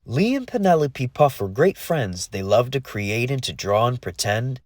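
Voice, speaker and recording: A man narrating a rhyming children's story in an expressive, sing-song way. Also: medium pitch, moderate pace, dry recording, clean studio sound.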